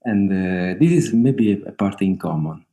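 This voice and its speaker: Italian-accented man, hesitant and low. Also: expressive, normal speed, dark tone. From Italy. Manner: hesitant, many fillers, laughs often. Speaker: guest singer-songwriter. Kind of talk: casual interview over video call. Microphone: video call.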